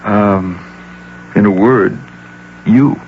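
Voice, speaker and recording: An actor playing an earnest, lovestruck middle-aged businessman in a radio drama, halting and emphatic, with a gravelly American voice. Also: on the low side. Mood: earnest, wooing. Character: earnest, lovestruck wealthy businessman, awkward yet insistent suitor. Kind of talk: old-time radio drama acting. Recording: dull hissy radio drama recording with hum.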